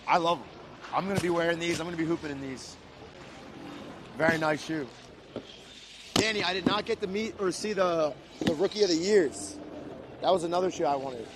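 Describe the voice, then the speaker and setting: A man hosting a casual livestream, loud and hyped as he talks straight to viewers and chats with guests. His voice sits a bit higher than most men's. Clear traffic noise from the street runs behind him. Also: fairly quick, long pauses. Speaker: host.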